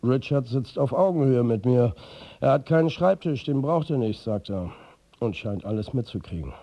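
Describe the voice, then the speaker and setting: Husky, wry football manager. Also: male voice, medium pitch, lively intonation, normal speed, mellow, no room sound. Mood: sardonic. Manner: wry, blunt, confiding. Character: world-weary, sardonic football manager, family man. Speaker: actor narrating as the lead character. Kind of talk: first-person radio drama narration.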